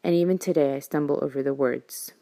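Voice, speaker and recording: A woman's voice: low-pitched, fairly quick, warm tone. A young woman from New York, a native Spanish speaker, earnestly reading her listener letter aloud. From New York, USA.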